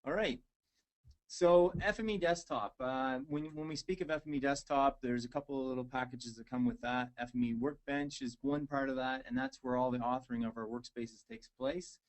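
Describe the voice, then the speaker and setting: Easygoing nova scotia guy walking through a software demo, lots of uhs. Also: mid-range voice, steady tone, moderate pace. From Nova Scotia, Canada. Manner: easygoing, filler-heavy, hesitant. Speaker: technical presenter. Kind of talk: casual webinar presentation.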